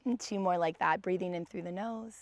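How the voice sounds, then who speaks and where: A young woman with a raspy voice, teaching a guided yoga class in a calm, gentle way. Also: fairly low pitch, brisk. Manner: calm, gentle guidance with soft sighs.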